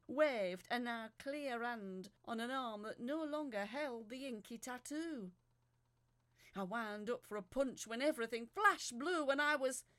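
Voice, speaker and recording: A woman playing a feisty woman recounting a strange ordeal in expressive, sharp-tongued audio drama narration. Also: a little high, dry recording. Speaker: narrator reading a letter aloud. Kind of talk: dramatic first-person storytelling in audio fiction.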